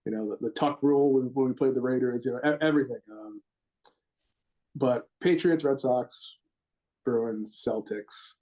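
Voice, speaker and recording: A man's voice: medium pitch, normal speed, dark tone, poor audio. A middle-aged man with a Rhode Island accent, a coach answering podcast interview questions in a rambling way, with many repeated words and restarts. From Rhode Island, USA. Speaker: gymnastics head coach, guest.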